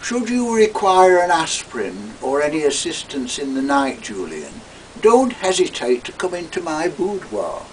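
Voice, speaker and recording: A man's voice: a little high, sing-song, unhurried, distant mic, a little hiss or hum, voice pushed up, rough recording. A man voicing a lecherous, gloating older man who speaks in a smug, leering way. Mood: suggestive.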